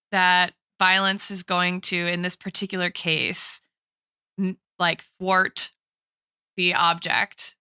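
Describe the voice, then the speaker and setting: A woman's voice: lowish voice, sharp tone, smooth, dry recording, clean studio sound. A woman co-hosting a podcast, talking through arguments in an academic way, with frequent "like" and "right" as she goes. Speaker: podcast co-host. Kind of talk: analytical discussion.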